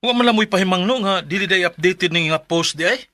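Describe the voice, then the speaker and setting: A man's voice: high-pitched, brisk, pushed and bright, dry recording. An actor with a Filipino Bisaya accent playing a mild husband and father in his thirties in a radio drama. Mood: displeased. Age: 30s. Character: Mark, mild thirty-year-old husband and father, let down. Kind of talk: Philippine radio drama acting and letter narration.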